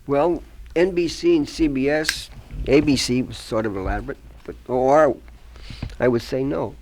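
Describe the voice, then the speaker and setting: Brief: mature male radio old-timer, medium pitch, terse and matter-of-fact, casual studio reminiscing. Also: normal speed, darkish tone, slight background noise. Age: middle-aged or older.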